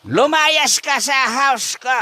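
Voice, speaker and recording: A drunken old husband in a radio comedy, muddled and stubborn. His voice is high and forceful, dragging slowly through slurred, theatrical exclamations. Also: exaggerated voice. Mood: indignant, dismissive. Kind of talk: comedy audio drama acting.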